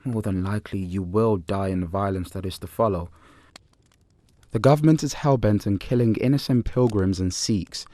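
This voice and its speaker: A solemn armed militant played by a man in an audio drama. His voice is low and mellow, with measured, commanding weight in a dry recording. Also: no echo, natural voice. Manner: measured, grave, deliberate.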